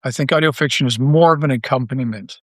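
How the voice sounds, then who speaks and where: A Canadian man talking casually as an interview guest, earnest and halting as he thinks aloud. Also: medium pitch, no echo, clean studio sound. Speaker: interview guest, audio drama writer and creator.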